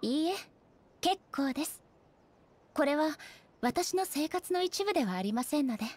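A woman playing a solemn female ruler deity in a Japanese anime game, speaking in a composed, formal, measured manner. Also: high-pitched, animated, darkish tone. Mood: reserved.

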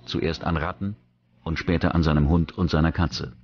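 A man's voice: extremely low pitch, steady tone, moderate pace, husky, no echo, muffled recording. A man reading scripted true-crime documentary narration as the narrator.

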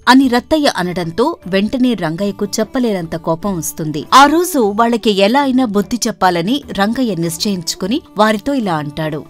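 A woman narrator reading a scripted folk tale for an animated story. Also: mid-range voice, normal speed, hardly any pauses. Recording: background music throughout.

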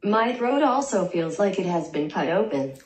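A synthetic woman's voice reading a young vlogger's lines in a deadpan way, heard in a small bedroom through the camera mic. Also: mid-range voice, moderate pace, echoey room, low-quality recording. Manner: deadpan, dry jokes. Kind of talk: text-to-speech vlog narration.

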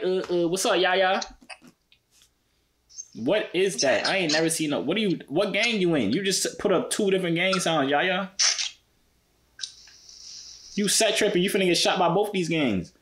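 Young American man, casual and slangy online chatter, rapid pace, teasing, slight noise. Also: sits high, animated, fairly bright tone. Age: 20s. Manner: playful, teasing. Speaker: vlogger chatting with strangers online.

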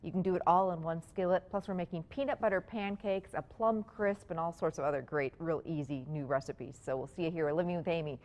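A woman's voice: fairly low pitch, fairly even intonation, fairly quick, hardly any pauses, darkish tone. A woman, hosting a morning TV cooking segment in a studio kitchen and chatting cheerfully as she cooks. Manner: chatty, upbeat, talks while cooking.

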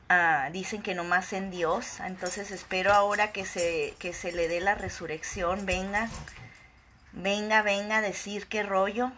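A woman in her forties from Ciudad Juárez with a northern Mexican accent, ranting sarcastically into a phone camera at arm's length indoors, shifting between loud outbursts and low asides. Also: slight background noise. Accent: northern Mexican Spanish. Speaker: vlogger. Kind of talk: casual rant to camera. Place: indoor room with wooden shelves.

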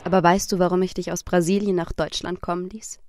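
A woman's voice: fairly low pitch, little pitch movement, quick pace, a little mellow, slight background noise, close to an everyday voice. A woman playing a young, self-absorbed, condescending well-to-do wife in a surreal radio play. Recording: music under the voice.

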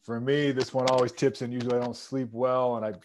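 A man in his forties moderating a video call panel from an office, relaxed with many fillers. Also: medium pitch, quick pace, a little hiss or hum. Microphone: earbud headset on a video call.

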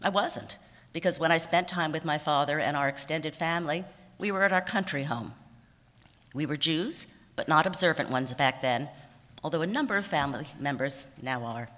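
A woman in her sixties giving an award acceptance speech from notes at a podium, warm and self-deprecating, with small laughs and restarts. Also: low-pitched, moderate pace. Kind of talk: prepared speech read from notes. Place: banquet hall stage at a podium. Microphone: podium microphone on a stand. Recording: reverberant banquet hall.

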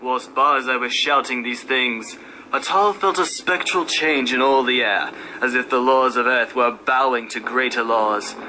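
Bright-voiced man narrating horror. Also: medium pitch, a little slow, low-quality recording. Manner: theatrical, solemn. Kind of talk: dramatic reading of a horror story. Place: radio station room. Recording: faint background noise at radio station.

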